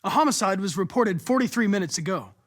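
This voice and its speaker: A young american android detective in a video game, polite but determined, pretty high voice, super precise and formal. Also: male voice, expressive, quick pace. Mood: formal, insistent. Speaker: actor voicing a video game character.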